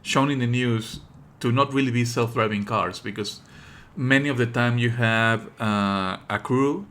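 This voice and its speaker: A man streaming live commentary, explaining in an opinionated, pedantic way with halting delivery and emphatic stresses. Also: mid-range voice, a bit bright. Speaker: streamer and host.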